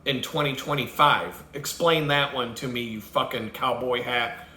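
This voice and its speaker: A man's voice: medium pitch, fairly even intonation, a little slow, sharp tone. A man hosting his own video, talking in a rambling, profane rant, swearing heavily and laughing at his own jokes. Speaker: vlogger host. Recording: roomy with faint background noise.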